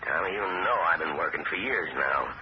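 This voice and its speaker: An anxious, pleading house owner desperate to sell, his voice sitting low. The sound is a dull, lo-fi old radio broadcast with clear hiss behind it. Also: expressive, fairly quick. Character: Gilmore Dumont, desperate aging house owner. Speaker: radio drama actor. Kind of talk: 1970s radio drama acting.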